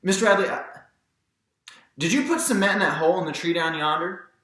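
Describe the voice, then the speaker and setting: An earnest boy from a storybook, as played by a man, polite and moody. His delivery is bright and halting, pitched up in moments of urgency. The room rings heavily with echo. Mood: polite, insistent. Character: Jem, earnest boy of about twelve, polite, moody, Southern. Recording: very echoey room.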